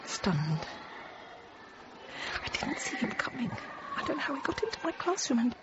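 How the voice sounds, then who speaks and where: An actress playing a frightened young nursery teacher, narrating in a halting, intimate, often hushed way. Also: female voice, a little high, big pitch swings. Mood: stunned, hushed. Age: 30s. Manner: halting, fragmented, often dropping to a hush. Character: frightened young Parisian nursery teacher recounting a siege, controlled. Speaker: radio drama actor, narrator. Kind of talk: intimate first-person dramatic monologue. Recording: dull, noisy radio drama recording.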